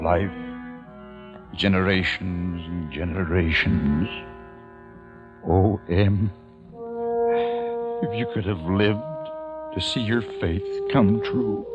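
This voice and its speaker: An actor playing a very old, nearly blind patriarch in a 1940s radio drama, wistful and earnest, halting and repeating his phrases. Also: low voice. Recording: muffled old radio recording with music.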